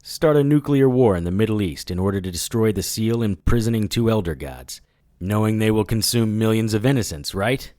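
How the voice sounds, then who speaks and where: An actor playing a wisecracking, defiant private investigator in an audio drama, with a dry, sarcastic, unflappable delivery. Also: male voice, fairly low pitch, lively intonation, a little fast, dark tone, no room sound, natural voice. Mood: wry, defiant.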